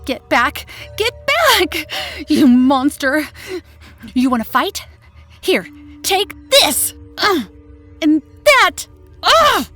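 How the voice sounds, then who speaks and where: A woman's voice: big pitch swings, very slow, long pauses, faint music behind, full character voice. A woman voicing a cruel, taunting sister in an old-time radio horror story. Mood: frantic rage. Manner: taunting, shrill shouting. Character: cruel mocking sister, shrieking at her monstrous brother. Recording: roomy echo.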